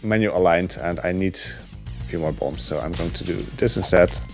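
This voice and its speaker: A man casually commentating his own speedrun live, relaxed and rambling, with many ums and uhs. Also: sits low, moderate pace, faint music behind.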